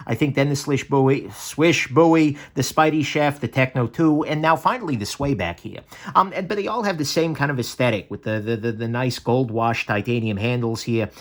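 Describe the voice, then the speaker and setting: A man hosting a casual knife review, chatty and self-correcting, with frequent ums and stammered restarts. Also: normal speed. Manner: lively, swinging pitch between upbeat and low asides. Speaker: knife reviewer, channel host. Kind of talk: casual product review to camera.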